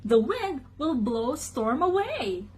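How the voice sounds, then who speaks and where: A woman voicing an excitable, bossy male farm dog in a children's storybook, calling out urgently. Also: high-pitched, slow pace, a little hiss or hum, exaggerated voice. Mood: announcing. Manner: urgent, exclamatory, announcing.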